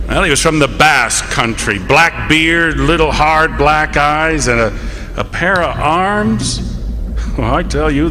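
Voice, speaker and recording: A man acting a plain-spoken lighthouse keeper who tells his tale in a halting, reflective way, as radio theatre narration. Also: medium pitch, bright, forceful tone, slight background noise.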